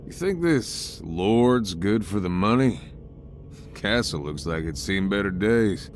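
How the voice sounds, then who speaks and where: A laid-back cowboy gunslinger speaks in a fairly low, folksy drawl. He is wry and skeptical, a video game hero with faint music behind him. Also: expressive, slow pace. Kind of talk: game character voicelines.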